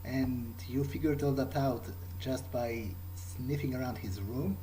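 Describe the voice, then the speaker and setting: Hesitant, mellow male gamer roleplaying. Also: steady tone, unhurried, slight background noise, poor audio. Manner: hesitant, questioning, low-key. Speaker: gamer voicing his own character. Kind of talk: in-character improvised game roleplay.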